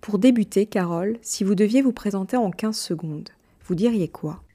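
A woman hosting an interview, asking measured, calm questions. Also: medium pitch, husky. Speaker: podcast host.

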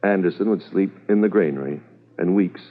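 An actor playing a brisk, authoritative police commissioner in a 1950s radio drama, methodical and official. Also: male voice, deep voice. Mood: businesslike, briefing. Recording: muffled, thin old radio recording.

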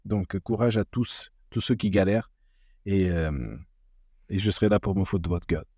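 A playful man from Portugal, a stand-up comic, speaking with a Portuguese accent shaded by English. His voice sits fairly low, and he keeps the chat jokey. The recording is dry and close. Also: normal speed, dark tone, dry recording. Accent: Portuguese with English influence. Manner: jokey, playful, laughs often. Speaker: stand-up comedian, podcast guest. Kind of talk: casual podcast chat.

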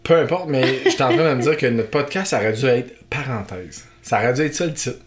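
A man with a Québécois accent speaking at an everyday mid pitch. He co-hosts a casual podcast and keeps teasing his partner with playful asides. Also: lively intonation, fast. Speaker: podcast co-host. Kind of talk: casual chat.

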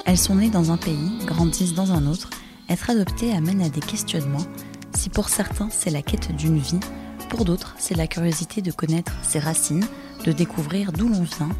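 A woman with a low voice hosts an interview. She reads a scripted opening and then asks her questions calmly. Faint music sits underneath her voice. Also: moderate pace. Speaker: host.

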